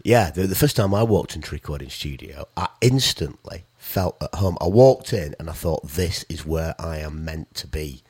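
A man with a sore throat, sounding husky, co-hosting a casual music chat and telling stories with stammering restarts. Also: very deep voice, lively intonation, moderate pace, few pauses, a little mellow, no echo, studio quality. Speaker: radio co-host, musician.

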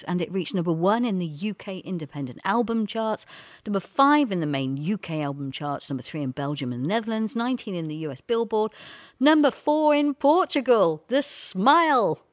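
A woman's voice: lowish voice, no echo, studio quality. A woman co-hosting a podcast, chatty, teasing and effusive in casual music talk. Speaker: podcast co-host.

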